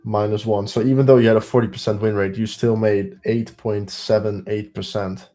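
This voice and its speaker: Male streamer, heavy Belgian accent, lowish voice, unhurried, faint music underneath. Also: little pitch movement, dark tone. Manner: unhurried, thinks aloud while counting trades. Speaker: day trading streamer. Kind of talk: live trading stream commentary.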